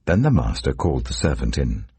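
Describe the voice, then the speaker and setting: A man reading scripture as a narrator in a solemn, measured, dramatic storytelling delivery. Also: rumbling low, big pitch swings, darkish tone, husky, no echo. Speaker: Bible narrator.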